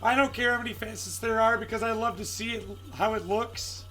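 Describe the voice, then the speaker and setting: A man with a raspy, strained voice chats casually on a live stream, searching for words and greeting viewers by name. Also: high-pitched, fairly even intonation, fairly quick, pushed and bright, soft background music, poor audio.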